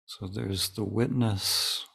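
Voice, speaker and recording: A man leading a guided meditation as a teacher, speaking calmly and deliberately in short phrases with long pauses. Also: on the low side, vocal fry, breathy edge.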